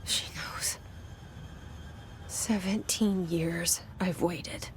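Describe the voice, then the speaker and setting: An actress playing a guarded, searching young woman's inner voice in a video game, hushed, breathy and deliberate. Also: lowish voice, fairly even intonation, in a whisper. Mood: hushed, brooding. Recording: loud music behind. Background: music.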